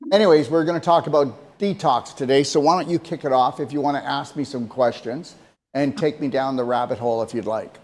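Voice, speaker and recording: A man's voice: medium pitch, brisk. A man co-hosting a casual talk show, speaking emphatically and rambling, often repeating himself. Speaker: co-host.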